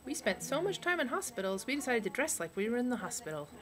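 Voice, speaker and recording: A woman voicing a deadpan male sociologist puppet, reading out a comic lecture in a casual way. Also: a little high, fast talker, crowd noise.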